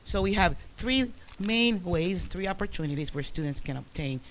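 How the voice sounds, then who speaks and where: A man giving a formal presentation to a school board at a public meeting, pausing often with fillers and restarting his sentences. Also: high voice, expressive, a bit bright, a little hiss or hum. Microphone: room microphone, quiet for listeners.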